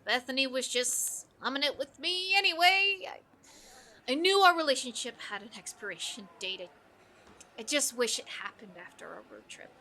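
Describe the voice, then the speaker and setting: A woman plays a persuasive young woman talking to her best friend in an audio drama. The voice is high and extremely bright. She speaks casually, coaxing and restless. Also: expressive, normal speed, slight background noise, exaggerated voice. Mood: downcast.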